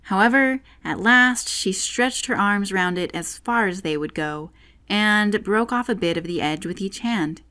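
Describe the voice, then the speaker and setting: A woman's voice: mid-range voice, a little slow, pushed and bright. A woman reading a children's classic aloud as a scripted audiobook narrator.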